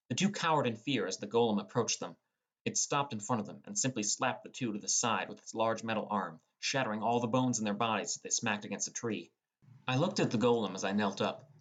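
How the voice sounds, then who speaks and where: A young man reading scripted first-person fantasy narration as a dramatic storyteller. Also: fairly low pitch. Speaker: audiobook narrator.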